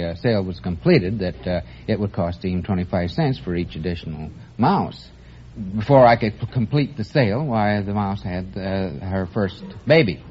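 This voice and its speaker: A man from Michigan, a pet shop owner, answers a quiz host hesitantly, pausing with lots of uhs. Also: sits low, slight background noise. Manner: halting, hesitant, full of pauses and uhs. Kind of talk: unscripted quiz show answers. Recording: lo-fi vintage radio broadcast.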